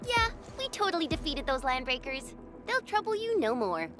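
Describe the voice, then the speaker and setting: A woman's voice: high-pitched, big pitch swings, moderate pace, music in the background. A woman playing a perky, cheeky young fighter in a video game. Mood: cheerful. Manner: cheeky, informal.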